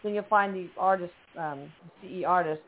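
A woman's voice: lowish voice, moderate pace, a loud crowd. Chatty woman host on a phone line. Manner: upbeat, encouraging, chatty. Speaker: radio show host. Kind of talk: casual call-in radio talk.

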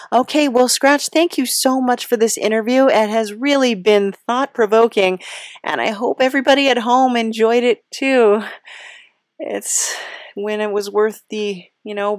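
A woman's voice: a little high, a little slow, no echo, clean studio sound. A woman hosting a podcast in a casual, chatty, informal way, rambling a little. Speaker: podcast host and creator.

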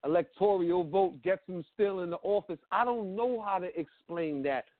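A man speaking as a guest on a casual talk show, frank and blunt, stumbling through repeated fillers as he makes his points. His voice sits fairly high. It comes over a dry, narrow phone line. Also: normal speed, no echo. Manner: frank, repetitive, many fillers. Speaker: guest, media creator. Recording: dry, phone-like call audio.

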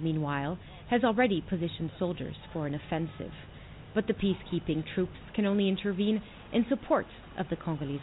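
A woman's voice: fairly low pitch, slight background noise. Female news correspondent, tinny line. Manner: measured, formal reporting. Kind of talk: scripted news report. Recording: tinny phone-like playback.

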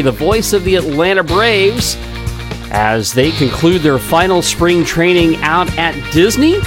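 A man hosting a sports podcast, his voice a little raspy, chatting with frequent pauses and fillers. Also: medium pitch. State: slightly raspy voice.

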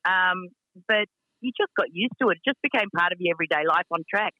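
A woman with a deep voice who owns a racing team, talking frankly with lots of fillers in a radio interview. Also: expressive, fast, bright, forceful tone, no echo, highs cut off. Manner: frank, chatty, many fillers.

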